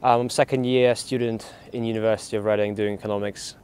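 A man's voice: on the low side, level tone, quick pace, darkish tone, clear, resonant tone. A young man from Ukraine, a university student, answering interview questions outdoors in a fluent, reflective way. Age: young adult. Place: outdoor park.

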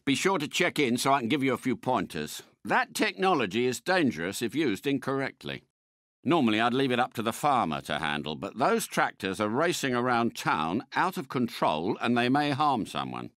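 An actor playing a suave, courteous British spy car in a video game, a polite and unflappable mentor with refined, precise phrasing. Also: male voice, mid-range voice, big pitch swings, normal speed, a bit bright, no room sound, clean studio sound. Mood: composed, instructive. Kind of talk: scripted game character voice lines.

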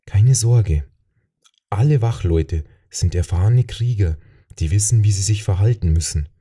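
A man's voice: lowish voice, lively intonation, a little slow, mellow, husky, distant mic. A man voicing a kind, reassuring woman companion in a fantasy audiobook. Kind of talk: audiobook character voice.

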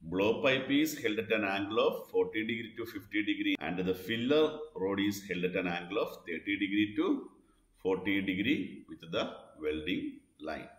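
Male tutor for scripted quiz narration: deep voice, deliberate and repetitive, roomy echo. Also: animated. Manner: deliberate, repeats each question and answer. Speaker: instructor. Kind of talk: scripted question-and-answer lesson narration. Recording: echoey, roomy voice-over.

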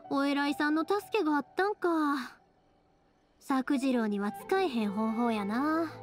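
Game casting: spirited young Kansai woman, high voice, earnest and reassuring, over music. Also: unhurried. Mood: friendly, thoughtful. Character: spirited, caring young woman who makes fireworks. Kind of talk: video game voice acting. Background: background music.

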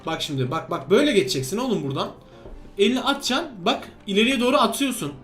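High-pitched man commentating a game. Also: animated, quick pace, a bit bright, faint music behind. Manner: bantering, exclamatory, repeats instructions. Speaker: gaming video host playing a character named Steve. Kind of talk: excited game commentary with a co-player.

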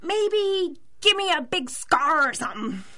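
An earnest, whiny street kid in a pulp radio play, a boy acted by a woman. His voice is very high and swoops about at an unhurried, slangy drawl. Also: big pitch swings, sharp tone, full character voice. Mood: fidgety eagerness. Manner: gee-whiz, slangy, whiny. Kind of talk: 1930s-style radio drama acting.

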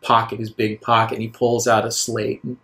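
A man narrating a tabletop game as game master, improvising descriptions in a halting way. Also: fairly low pitch, completely flat delivery, fairly bright tone.

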